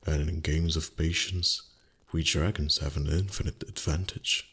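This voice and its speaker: A man voicing a proud, greedy, centuries-old dragon in an ASMR roleplay, speaking in a husky, breathy voice with slow, deliberate, haughty delivery that savours the words. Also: a bass voice, fairly even intonation, a bit bright, heavily acted. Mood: languid, proud.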